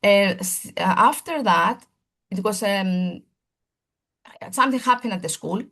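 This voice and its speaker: A woman presenting a seminar with a gravelly, fry-edged voice at a middling pitch. She speaks in earnest, formal lecture phrasing, building emphatic lists and often restarting mid-sentence as she hunts for the right word. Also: measured pace, a bit bright. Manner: earnest and searching for words, with frequent restarts. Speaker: primary school teacher presenting a seminar.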